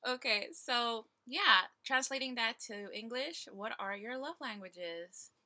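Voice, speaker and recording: A woman's voice: a little high, takes it slowly, sharp tone. A woman in her twenties co-hosting a podcast, chatting casually with playful, slangy banter. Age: 20s. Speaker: podcast co-host.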